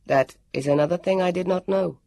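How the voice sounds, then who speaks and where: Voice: medium pitch, brisk, gravelly, warm tone, no room sound, voice pushed up, close to an everyday voice. The narrator voicing a formal, solemn grown man who speaks stiffly and precisely.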